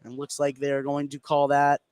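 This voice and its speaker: An American man doing excitable live play-by-play basketball commentary for radio, narrating the action in running phrases. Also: a little high, moderate pace, clean tone. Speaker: play-by-play sports announcer.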